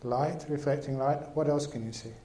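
A man giving a Buddhist teaching as a monk, speaking in short, unhurried phrases with pauses between them. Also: mid-range voice, steady tone, mellow. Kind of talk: dharma talk with an interpreter. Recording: roomy echo.

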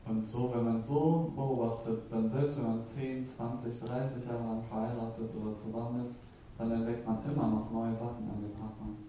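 A male interpreter relaying a talk phrase by phrase, now and then pausing on a filler sound. His voice is dark and mellow. He sounds set back from the microphone in a strongly echoing room. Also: mid-range voice, little pitch movement, slight background noise. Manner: slightly hesitant, with filler sounds. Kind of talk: live consecutive interpreting. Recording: very echoey, from a distance.

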